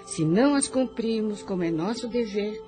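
Actress as solemn admonishing spiritual guide. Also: female voice, mid-range voice, animated, dark tone, soft background music, lightly acted. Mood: solemn, warning. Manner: solemn, preachy, measured. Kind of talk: Brazilian radio drama acting.

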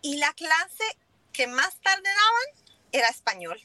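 A woman's voice: high-pitched, sing-song, slow, pushed and bright, no room sound. A woman, a native speaker of Italian and English, talking casually as an interview guest in foreign-accented Spanish and slipping in English words. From Italy and Germany. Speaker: interview guest, business owner.

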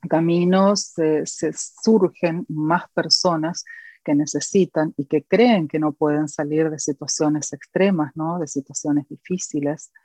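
A woman from Argentina with an Argentine accent, a guest educator and author speaking earnestly in an interview, pausing often with fillers. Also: low voice, steady tone, mellow, no room sound. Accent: Argentine Spanish. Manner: earnest, reflective, with many pauses and fillers.

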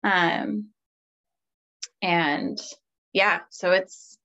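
A woman's voice: fairly low pitch, fairly even intonation, very slow, highs cut off. A woman hosting a podcast interview, chatting casually and affirming her guest often. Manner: warm, affirming, frequent agreeing murmurs. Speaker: podcast host.

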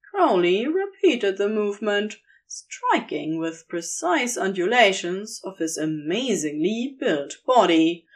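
A woman narrating scripted romantic fan fiction in an intimate storytelling style. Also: mid-range voice.

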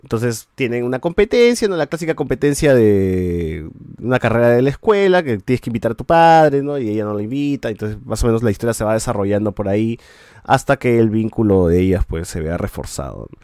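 A man's voice: mid-range voice, very animated, a little fast, darkish tone, no room sound. Man chatting, dry close sound. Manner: rambling, restarts often, tags sentences with a questioning "right?". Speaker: podcast host reviewing shows. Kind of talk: casual review chat.

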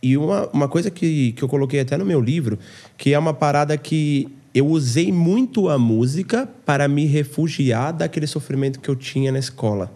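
A man hosting a podcast from home, chatting casually in an animated, slangy way and often talking about himself. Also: fairly high pitch, a little fast. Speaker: podcast host. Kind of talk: casual interview chat.

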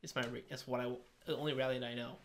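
A man's voice: mid-range voice, flat delivery, racing, a bit bright. A man speaking as a podcast guest, telling personal stories casually and often backtracking to rephrase. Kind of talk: casual chat, personal storytelling.

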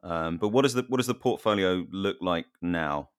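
A man with a deep voice hosts an interview, greeting his guests and asking questions in a friendly, chatty way. The sound is dry and close. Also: normal speed, clear, resonant tone, no echo. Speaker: interview host. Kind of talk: podcast interview hosting.

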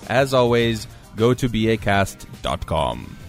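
A man's voice: fairly low pitch, very animated, a little slow, soft background music. Blunt, wry American man co-hosting. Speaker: podcast co-host. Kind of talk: casual podcast banter.

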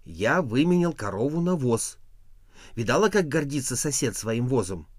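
A man's voice: medium pitch, sing-song, moderate pace, no echo, clean studio sound, voice pushed up. A man voicing a naive, easygoing peasant husband who tells boastful stories in a folk tale. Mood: coaxing, storytelling.

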